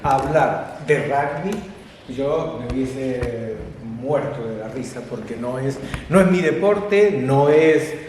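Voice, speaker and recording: A man with an Argentine Spanish accent giving a conversational public lecture, halting now and then with fillers and restarts. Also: mid-range voice, darkish tone. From Catalonia, Spain. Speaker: guest speaker. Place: lecture hall. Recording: echoey hall from far off with faint background noise.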